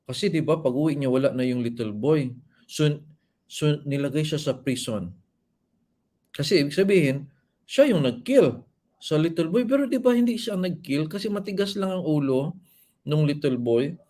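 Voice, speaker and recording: A man's voice: a little high, animated, normal speed, mellow. A man with a Filipino accent reading a children's story and retelling it simply and informally, as if to young kids. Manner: explains and repeats simply, as if to children. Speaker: bedtime storyteller.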